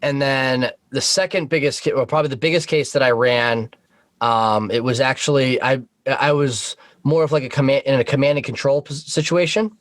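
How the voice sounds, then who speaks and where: A man tells work stories as a guest on a casual talk show. His language is informal and sweary, his pitch sits in the middle, and the sound is dry and close. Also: steady tone, normal speed, a bit bright, no echo. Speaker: podcast guest, Coast Guard boat operator.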